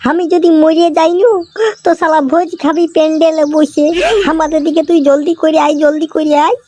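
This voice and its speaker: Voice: high-pitched, little pitch movement, brisk, dark tone, lightly acted. A performer voicing a brash, panicky teenage schoolboy in a comic cartoon, bickering in rustic slang with a Purulia accent from West Bengal. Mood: panicked, wailing. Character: panicky, foul-mouthed teenage village schoolboy facing his exam.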